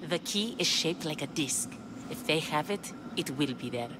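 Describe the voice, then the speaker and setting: A woman's voice: sits low, expressive, normal speed, background noise. A woman voice-acting an anguished, devoted young woman in a video game, speaking with earnest, pleading drama. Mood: grave. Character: anguished, devoted young woman longing for her lost lover.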